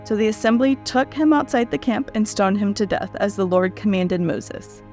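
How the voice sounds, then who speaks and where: An adult woman, a guest and reader, speaking at a medium pitch. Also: moderate pace, darkish tone, faint music behind.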